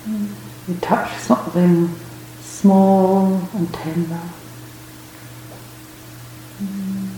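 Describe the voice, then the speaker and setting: A woman leading a guided meditation as a teacher, speaking gently and unhurriedly in short phrases with long pauses. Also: medium pitch, flat delivery. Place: reverberant indoor room at a retreat. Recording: very echoey room, faint background noise.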